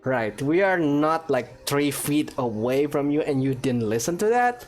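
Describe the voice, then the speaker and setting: Brief: a low-pitched woman streamer, lively, wry commentary over a game. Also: a little slow. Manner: joking, sarcastic asides. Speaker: gaming channel host. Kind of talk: casual let's-play commentary. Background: game music.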